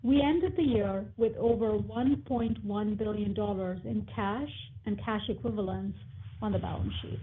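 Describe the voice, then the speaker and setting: A woman's voice: mid-range voice, moderate pace. A woman finance executive formally reading prepared financial guidance in a precise way. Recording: narrow phone-like conference call line.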